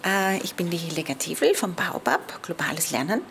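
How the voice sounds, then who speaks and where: A woman's voice: fairly low pitch, lively intonation, normal speed, very noisy. A woman explaining her organization's work in an interview at a busy conference fair. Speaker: exhibitor at education fair. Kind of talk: interview answers. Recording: busy crowd chatter behind.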